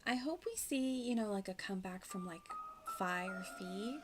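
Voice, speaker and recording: A woman's voice: medium pitch, expressive, moderate pace, over music. A woman streaming a game with casual, slangy commentary, rambling as she reacts aloud.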